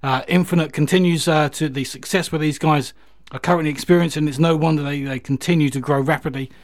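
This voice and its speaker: British male radio presenter, rapid delivery, slightly high pitch, casual, effusive, enthusiastic music-show chat. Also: steady tone. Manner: chatty, effusive, with repeated emphatic praise.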